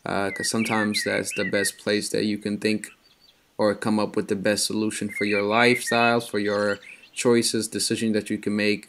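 A young man in casual voice-over, explaining his hair care in a relaxed, rambling way with many pauses. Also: lowish voice, slight background noise.

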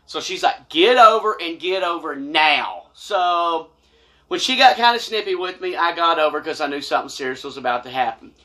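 A middle-aged man tells a personal story casually to camera in an animated, folksy, colloquial way. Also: a little high, moderate pace. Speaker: storyteller, vlog host. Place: small wood-panelled room indoors.

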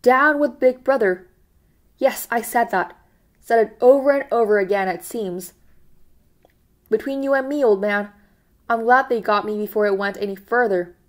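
A woman's voice: a little high, normal speed, pauses often, lightly acted. A woman voicing a frightened, servile middle-aged man who pleads anxiously and almost blubbers.

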